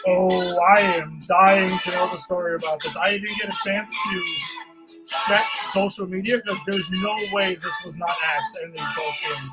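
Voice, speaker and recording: Man hosting a sports podcast, music loud. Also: sits high, fairly even intonation, a little slow, low-quality recording. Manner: loose and rambling, with fillers and laughs. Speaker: podcast host. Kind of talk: casual sports chat. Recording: music playing loudly behind.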